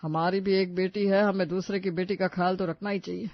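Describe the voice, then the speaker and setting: A calm older father-in-law from a storybook, acted by a woman, fair-minded and considerate. It sounds very dry and a little muffled. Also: fairly low pitch, brisk, dry recording, close to an everyday voice. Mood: earnest.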